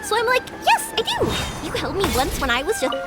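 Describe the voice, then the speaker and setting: Bright-spirited chatty young woman, high-pitched. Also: moderate pace, over loud music, heavily acted. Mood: chatty, playful. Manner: breezy, informal, expressive. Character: cheerful, chatty young woman, fireworks maker. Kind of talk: anime game voice acting.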